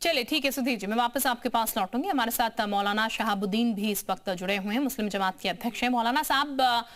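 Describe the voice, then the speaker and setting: A woman's voice: a little high, fast talker, fairly bright tone. A woman television news anchor moderating a live debate and putting questions to guests.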